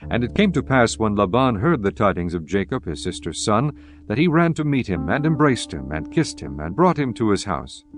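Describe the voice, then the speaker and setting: A man narrating scripture in a measured, reverent manner. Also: fairly low pitch, very animated. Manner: measured, reverent, archaic diction. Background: guitar music.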